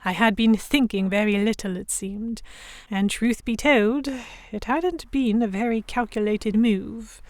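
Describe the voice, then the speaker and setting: A woman playing a young Victorian noblewoman captive, narrating her story in a measured, literary first person. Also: mid-range voice, dry recording, lightly acted. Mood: dazed, reflective. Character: young English noblewoman captive, reflective, stubborn, Victorian era. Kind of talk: first-person scripted narration in an audio drama.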